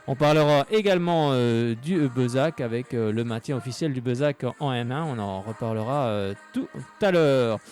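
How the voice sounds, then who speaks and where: A man's voice: mid-range voice, moderate pace, hardly any pauses, a little mellow, soft background music. A man hosting a live sports show on local radio, chatty and full of hesitant fillers.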